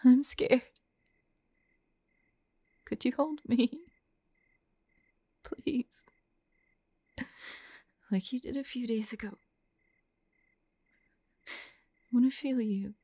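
A woman voicing a tormented young monster girl fighting bloodthirst, tender and frightened, halting and often dropping into whispers in an intimate audio roleplay. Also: on the high side, mellow, husky, no echo, clean studio sound, heavily acted. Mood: scared, pleading.

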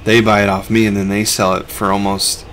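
A man's voice: lowish voice, level tone, a little fast, a bit bright, slight background noise. A middle-aged man, a reseller who hosts a vlog, narrating over his footage in a laid-back, conversational way.

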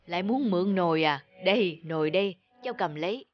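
A woman voicing a kindly, humble elderly village neighbour in an animated folk tale, gentle and exclamatory. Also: on the low side, brisk, highs cut off, lightly acted. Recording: music behind the voice.

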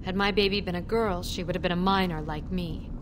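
A woman's voice: fairly low pitch, fairly even intonation, brisk, noisy background, lightly acted. A woman voicing an anxious outcast dwarf mother. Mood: earnest. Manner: earnest, confiding. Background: game music.

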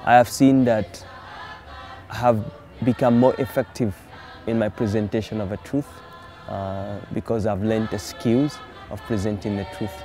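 Reflective pastor, lowish voice. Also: male voice, fairly even intonation, moderate pace, dark tone. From Zambia. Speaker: pastor and student. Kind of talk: interview answers. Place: outdoors by a wall. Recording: clear music underneath.

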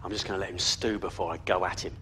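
An actor playing a hot-headed police detective in a crime game, blunt and defiant. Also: male voice, deep voice, level tone, racing, bright, forceful tone, slight background noise. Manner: blunt, insubordinate.

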